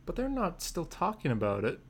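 Man, fairly high pitch, casual podcast conversation, informal slangy phrasing. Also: huge pitch swings, a little fast. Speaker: podcast co-host. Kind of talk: casual conversation about comic book news.

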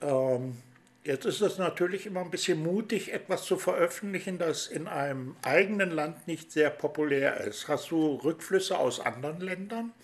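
Chatty male interviewer, slightly high voice. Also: expressive, moderate pace. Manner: friendly, rambling questions with many fillers. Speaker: interview host. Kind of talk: chatty radio-style interview.

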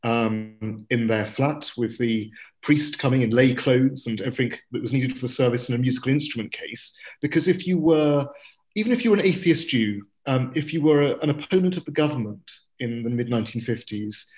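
A man speaking in a panel discussion as a journalist and church historian, measured and pausing with fillers between phrases. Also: medium pitch, darkish tone, low-quality recording.

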